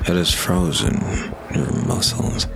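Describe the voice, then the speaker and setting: An actor playing a menacing, unhurried monster, agent of a dark power, in a fantasy audio drama, deep and gravelly, gloating with mock politeness. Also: male voice, animated, in a whisper, full character voice. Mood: gloating menace.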